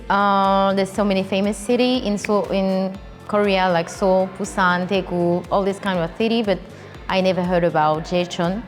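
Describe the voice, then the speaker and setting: A woman from France, giving lively interview answers and searching for words now and then. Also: lowish voice. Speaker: trip participant. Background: music.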